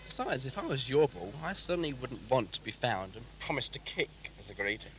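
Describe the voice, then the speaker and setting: Dry pedantic wit for audio drama, male actor, deadpan, precise, middle register, music underneath. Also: mid-range voice, brisk, rough recording. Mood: dry, wry. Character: drily witty, pedantic companion, mildly exasperated. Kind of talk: amateur audio drama acting.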